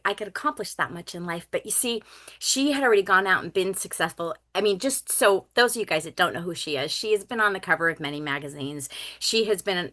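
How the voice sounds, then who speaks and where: A woman's voice: mid-range voice, sharp tone. A woman in her fifties, born in Finland, hosting a video call and introducing a guest with emphatic, gushing enthusiasm. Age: 50s. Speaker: call host.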